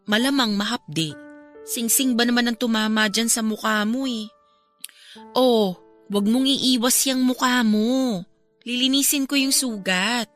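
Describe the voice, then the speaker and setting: A woman's voice: fairly high pitch, measured pace, a bit bright, soft background music. An actress playing a caring but frank young woman chiding her ex in a radio drama.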